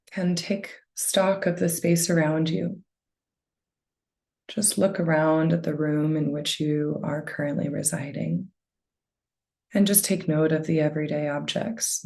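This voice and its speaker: A woman leading a guided meditation with gentle, unhurried phrasing and a soft, soothing delivery. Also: low-pitched, creaky.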